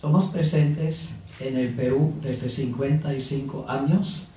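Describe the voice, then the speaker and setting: A middle-aged man delivers a formal welcome address into a handheld mic, his voice dark and mellow and his pace slow, broken by pauses and fillers. He is heard from across an echoey reception room. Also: mid-range voice. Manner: unhurried, with frequent pauses and fillers, self-correcting. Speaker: ambassador giving a welcome speech. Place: reception room at an embassy, indoors. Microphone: handheld microphone at a lectern. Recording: echoey room, picked up from a distance.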